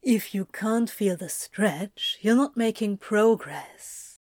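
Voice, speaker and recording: A woman plays a relaxed, playful male yoga teacher in an audio story. The tone is soft and mellow, close and dry, with gentle encouragement and teasing reassurance. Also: mid-range voice, slow, no echo. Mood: teasing, encouraging. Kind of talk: audio fiction character acting.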